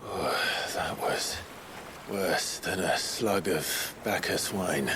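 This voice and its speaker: A male actor voicing a grave, courteous video game outlaw hero with a measured, formal, terse delivery. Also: sits low, background noise. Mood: queasy, groaning. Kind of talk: dramatic video game acting.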